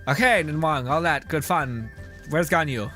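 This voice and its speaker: A man's voice: fairly high pitch, moderate pace, bright, forceful tone, soft background music. A young man giving casual, irreverent commentary while streaming a game, often swearing and mock-quoting.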